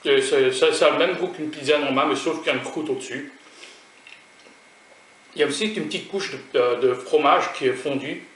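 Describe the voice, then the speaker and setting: A man's voice: a little high, a little fast, fairly bright tone. A man from France with a French accent, chatting casually to his viewers while tasting food on his vlog. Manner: chatty and rambling, talking straight to viewers. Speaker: food vlogger. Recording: roomy sound from a distant mic.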